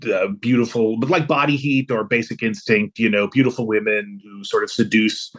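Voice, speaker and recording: A man's voice: lowish voice, fairly even intonation, moderate pace, fairly bright tone. An American man from Los Angeles talking casually as a guest in an interview. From Los Angeles, USA. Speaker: podcast guest, composer.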